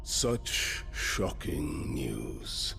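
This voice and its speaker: Game villain: gravelly, very low, unhurried, formal, vengeful ruler striking cold bargains over faint music. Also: male voice, sing-song, pushed and bright, heavily acted. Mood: cold, taunting. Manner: slow, measured, formal. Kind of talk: dramatic video game cutscene acting.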